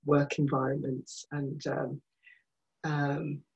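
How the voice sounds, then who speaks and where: A woman in a group discussion, reflecting back what others say, halting with fillers. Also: sits low, flat delivery, vocal fry, a little mellow, dull audio. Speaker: listener reflecting back in an empathy circle.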